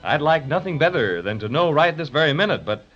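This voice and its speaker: A man's voice: a little high, very animated, fairly quick, fairly bright tone. A man announcing a 1930s children's radio serial in a storytelling style. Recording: muffled 1930s radio recording with music.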